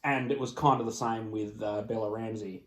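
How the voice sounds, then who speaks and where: A man's voice: fairly low pitch, fairly even intonation, normal speed. A man co-hosting a casual podcast, blunt and opinionated in the way he talks. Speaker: podcast co-host. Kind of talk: casual chat. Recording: echoey, roomy sound.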